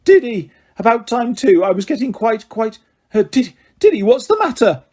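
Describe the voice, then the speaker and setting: Male reader as a hysterical doting mother, shrill and quavering, husky tone. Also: very animated, normal speed, a little mellow, heavily acted. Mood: fussing. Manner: shrieking and quavering.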